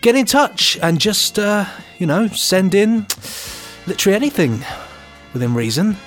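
Male co-host, fairly high-pitched, casual and easygoing, jokey, quick to laugh. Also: very animated, soft background music. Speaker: podcast co-host. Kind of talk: casual podcast chat.

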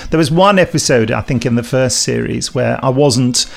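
Wry podcast host, slightly creaky voice. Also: male voice, medium pitch, moderate pace, heavy background noise. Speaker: podcast host, audio drama director and composer. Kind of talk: casual podcast chat, reading listener emails.

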